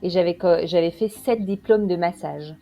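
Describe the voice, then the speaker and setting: A woman's voice: lowish voice, moderate pace, gravelly, lo-fi sound, faint music behind. A woman from Belgium, chatting as an interview guest and telling her story with frequent hesitations. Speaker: guest, quantum practitioner.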